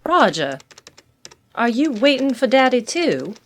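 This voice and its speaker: An actress playing an ambitious, sharp-tongued daughter of an oil tycoon in an audio drama. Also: female voice, a little high, big pitch swings, unhurried, slight background noise. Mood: eager.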